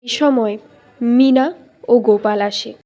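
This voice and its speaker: Story narrator: woman, high voice, unhurried, close dry sound. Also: dark tone, no room sound. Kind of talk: scripted cartoon story narration.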